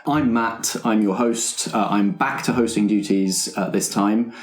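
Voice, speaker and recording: A man's voice: lowish voice, a little slow, soft background music. A man hosting casually as he introduces players, chatty and a little hesitant. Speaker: podcast host. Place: room around a table. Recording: roomy, echoing group recording.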